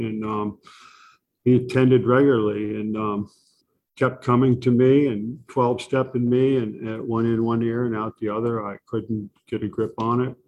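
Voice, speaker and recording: A soft-spoken man sharing his recovery story at a support meeting, halting often with pauses and fillers. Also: medium pitch, gravelly, lo-fi sound, a little hiss or hum. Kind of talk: personal recovery share.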